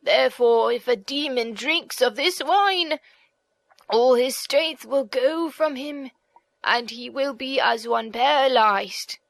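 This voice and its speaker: A woman voicing a venerable old male god in an audiobook, speaking in a measured, solemn, instructive way. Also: sits high, gravelly, no echo, heavily acted, rough recording. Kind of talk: audiobook character reading.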